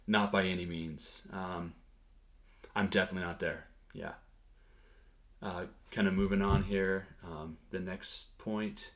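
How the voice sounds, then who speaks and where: A man with a deep, low voice talks through a relaxed vlog update on his language learning. He hesitates often, filling pauses with "um" and "like" and restarting his sentences as he thinks aloud. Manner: hesitant, many fillers and restarts. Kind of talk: casual talking-head update.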